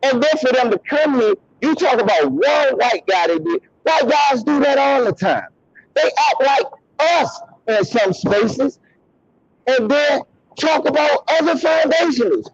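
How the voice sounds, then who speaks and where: Husky guy ranting on a call, voice jumping all over, cusses a lot, muffled lo-fi sound. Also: animated, measured pace. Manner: emphatic, profane, interrupts, pitch jumps when worked up. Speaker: host of commentary channel. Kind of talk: heated debate on a call.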